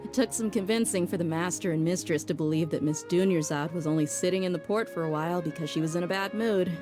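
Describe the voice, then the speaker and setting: An actress voicing a tough female mercenary bodyguard who is blunt and casual but soft at heart, in a game cutscene. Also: on the low side, quick pace, music in the background, natural voice. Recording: game score softly behind.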